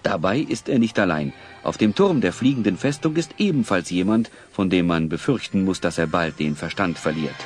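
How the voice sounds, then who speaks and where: Low, animated male storyteller. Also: normal speed, few pauses, soft background music. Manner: dramatic storyteller. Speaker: narrator. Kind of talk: scripted audio drama narration.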